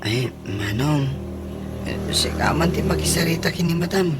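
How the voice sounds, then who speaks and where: A woman's voice: sits low, lively intonation, normal speed, loud music behind the voice. A woman with an Ilocano accent playing a hapless, whiny young male office worker in a comedy radio drama. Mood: pleading. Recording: clear background noise.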